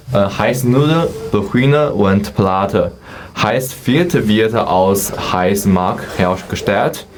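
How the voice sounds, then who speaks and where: A young man from southwest China with a Chinese accent, answering as a radio guest, hesitant and stumbling over words. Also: lowish voice. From Yunnan, southwest China. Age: young adult. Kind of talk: interview answers about everyday culture.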